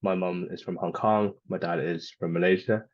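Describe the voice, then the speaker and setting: A man's voice: deep voice, steady tone, moderate pace. An Irish man in his twenties, a youth leader, giving casual interview answers. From Ireland. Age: 20s. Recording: roomy, slightly dull lo-fi sound.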